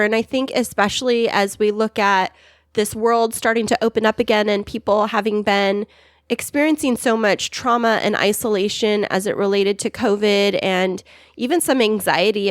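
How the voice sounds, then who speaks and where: A woman's voice: mid-range voice, fairly even intonation, normal speed, dry recording, studio quality. A woman co-hosting a podcast, upbeat and enthusiastic, with a playful streak. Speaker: podcast co-host. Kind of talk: casual podcast chat.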